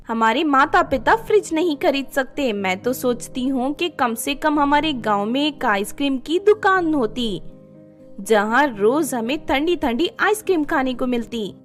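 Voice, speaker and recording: A woman's voice: sits high, fairly bright tone. A woman voicing a poor, naive young village girl with a wistful, plaintive manner in a story.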